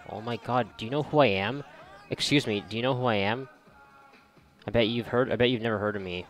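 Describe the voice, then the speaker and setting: A man's voice: mid-range voice, a little fast. A man giving casual let's play commentary in a sarcastic, joking and profane manner. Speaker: gamer, video creator. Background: game music.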